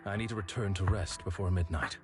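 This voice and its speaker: An actor playing a reserved, dutiful gentleman protector in a video game, speaking in a stiff, courteous, measured way. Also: low-pitched, natural voice. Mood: polite, restrained. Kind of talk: dramatic video game voice acting. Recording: background music under voice.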